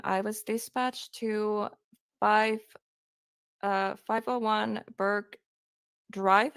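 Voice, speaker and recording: A young woman with a Finnish accent, reading a true crime case aloud on a live stream, hesitant with many pauses and fillers. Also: mid-range voice, vocal fry, smooth, dry recording, studio quality. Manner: hesitant, many ums, reads quotes aloud.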